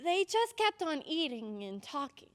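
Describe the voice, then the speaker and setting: A woman playing an excitable, insistent little girl in an old-time radio drama, with a dramatic, urgent manner. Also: high-pitched, a little slow, dry recording, clean studio sound, full character voice. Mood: wistful recounting.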